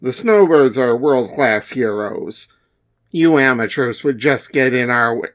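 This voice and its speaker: A man's voice: medium pitch, lively intonation, unhurried, lightly acted. A man voicing an arrogant male superhero team leader who talks down to rivals. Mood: dismissive. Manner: condescending, dismissive.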